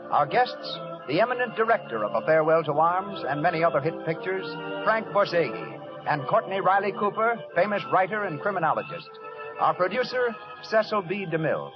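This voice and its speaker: A man's voice: sits high, dull audio. A man announcing a 1930s radio programme from a script in a formal, declamatory manner, on a vintage recording.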